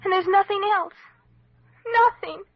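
An actress playing a miserable, ashamed teenage girl in an old-time radio drama, halting and pleading. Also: very high voice, level tone, vocal fry, no room sound, soft background music. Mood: despairing. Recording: dull vintage radio recording.

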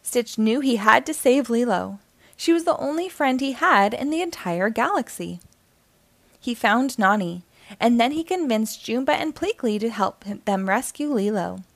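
A woman's voice: a little high, lively intonation, normal speed, creaky, dry recording. A woman reading a children's story aloud as scripted narration.

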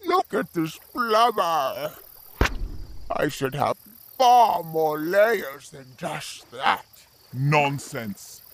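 A man's voice: fairly high pitch, lively intonation, drawn-out words, exaggerated voice. A man playing a giant talking sea lion in a dramatic fantasy audio story. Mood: nervous, pleading. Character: giant sea lion creatures, stern elder and pleading underling. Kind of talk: dramatic audio fiction acting.

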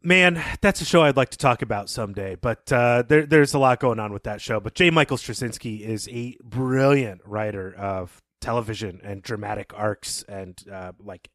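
A man co-hosting a podcast, talking casually and explaining things in a measured, thoughtful way. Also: lowish voice, a bit bright, no echo, clean studio sound. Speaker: podcast co-host. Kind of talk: casual podcast talk and story recap.

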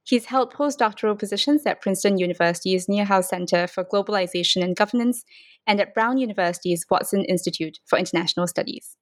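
Lady podcast host, talks quick, introduces an author, pretty dry sound. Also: mid-range voice, dry recording. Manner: reads a formal introduction quickly. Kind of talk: academic book interview.

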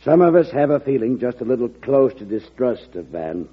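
An actor playing a tactful, doubtful political insider in an old-time radio drama, with a hesitant manner. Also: male voice, medium pitch, very animated, moderate pace, breathy edge. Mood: wary, tactful. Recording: muffled lo-fi old radio broadcast.

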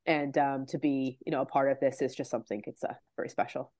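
Low-voiced Canadian woman, casual fan podcaster. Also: little pitch movement, fast, dark tone, dry recording, studio quality. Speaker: football podcast co-host.

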